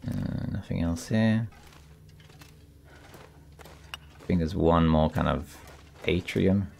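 A man with a raspy voice, still getting over a cold, calmly commenting as he plays a survival game and thinks aloud. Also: sits low, slow, slight background noise. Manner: relaxed, thinking aloud while exploring. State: recovering from a cold. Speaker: gameplay commentator. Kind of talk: calm let's play commentary.

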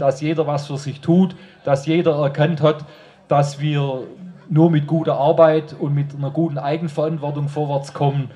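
A man's voice: fairly high pitch, warm tone. A man with a Swabian accent gives an informal, colloquial rally speech outdoors into a handheld microphone, addressing the crowd directly. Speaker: rally speaker. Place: outdoor stage under an open tent.

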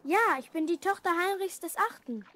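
A woman's voice: very high voice, normal speed, bright, forceful tone, slight background noise. A woman playing a lonely young princess, proud and sad, in a formal, earnest way for a children's audio drama.

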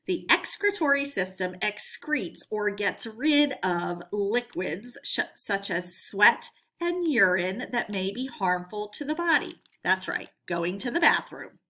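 A woman teacher reading a scripted educational lesson aloud for children in an expressive, deliberate way. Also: mid-range voice.

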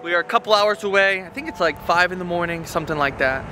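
A man's voice: high-pitched, fast, over music. A young man in his twenties giving chatty, upbeat updates to a handheld camera during a road trip. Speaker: vlogger. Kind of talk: casual travel vlog. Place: car and parking lot at night, handheld camera. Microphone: handheld camera at arm's length.

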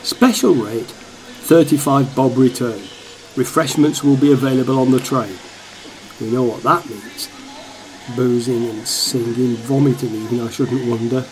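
A man's voice: fairly even intonation, unhurried, natural voice, poor audio. A man playing a wry, grumbling football excursion passenger in a radio play, musing to himself. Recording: train rumble behind the voice.

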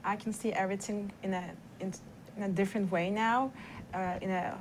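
A woman's voice: medium pitch, fairly even intonation, brisk, slight background noise. A young woman from Turkey, an international law student speaking earnestly as a panel guest.

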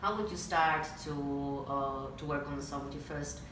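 A woman vocal coach with a deep voice interviewing guests in chatty, laughing conversation. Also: fairly even intonation, normal speed. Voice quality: deep speaking voice. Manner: chatty, laughs often, lots of restarts. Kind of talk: casual interview chat. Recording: echoey room, far from mic, noisy.

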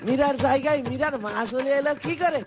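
High-pitched bumbling cartoon crook. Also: male voice, fairly even intonation, quick pace, music in the background. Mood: flustered, alarmed. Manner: exclamatory, repeats himself. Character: bumbling, flustered male sidekick, foolish lovestruck crook. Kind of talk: Bengali comic cartoon acting.

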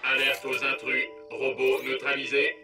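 An actor voicing an obedient robot henchman in a children's cartoon, stiff and monotone in manner. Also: mid-range voice, a little slow, natural voice. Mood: flat, obedient. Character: villain's obedient robot henchman, flat and dutiful. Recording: music behind the voice.

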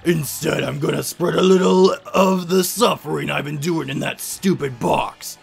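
A man's voice: on the high side, vocal fry, breathy edge, soft background music, exaggerated voice. A man voicing a gleefully wicked demon from a video game, gloating theatrically as a curse-casting villain in a fan dub. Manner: theatrical, gloating, drawn-out cackles and shouts. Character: gleefully wicked cursing demon trapped in a box.

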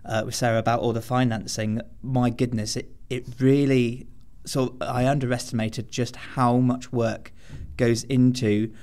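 Enthusiastic man with mid-pitched voice. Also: level tone, a little slow, a little mellow. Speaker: student guest on a podcast. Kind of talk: conversational interview answers.

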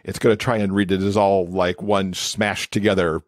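A man's voice: low-pitched, little pitch movement, moderate pace, no room sound, studio quality. A man speaking as an expert podcast guest, explaining things in a casual, conversational way.

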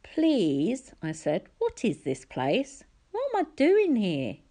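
A woman's voice: medium pitch, wildly swooping pitch, slow pace, dark tone, pitched above the performer's own voice, full character voice. A woman voicing a polite, confused young boy hero in a storybook, hesitant and questioning. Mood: pleading. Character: eager, puzzled, polite twelve-year-old boy hero.

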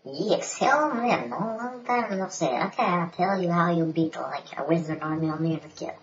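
A man voicing a squeaky, hyper male fighter friend who rambles and breaks into shrieks. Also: animated, moderate pace, a little hiss or hum. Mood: excited. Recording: echoey muffled room.

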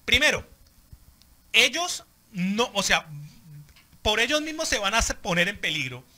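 A man's voice: sits high, very animated, measured pace, bright, forceful tone. A Colombian man debating casually with friends, insistent and often repeating and restarting his phrases. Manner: insistent, repetitive, starts phrases over. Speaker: podcast panelist. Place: small band rehearsal room with acoustic foam. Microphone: handheld microphone.

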